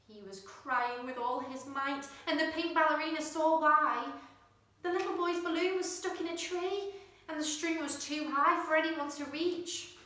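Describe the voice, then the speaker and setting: A woman reading a children's storybook with expressive narration, putting emphasis on the action words. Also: a little high. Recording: very echoey room, distant microphone.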